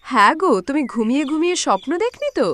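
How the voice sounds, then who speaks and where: A woman's voice: sits high, lively intonation, quick pace, fairly bright tone. A woman voicing a bossy, greedy farmer's wife in a cartoon moral story, scolding and insistent. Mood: pushy, eager. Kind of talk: animated moral story voice acting.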